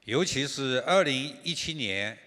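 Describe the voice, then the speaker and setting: An older man whose first language is Chinese, answering on a business panel in a halting way with frequent pauses and fillers. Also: medium pitch, expressive, sharp tone. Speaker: industry association chairman. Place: large conference hall. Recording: reverberant conference hall.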